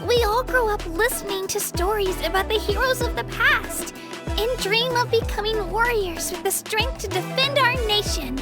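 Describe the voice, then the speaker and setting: A woman's voice: very high voice, moderate pace. A woman voicing an earnest, polite young warrior girl in a video game, eager and a little hesitant. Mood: earnest, reflective. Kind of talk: English video game voice acting. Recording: clean voice over game music.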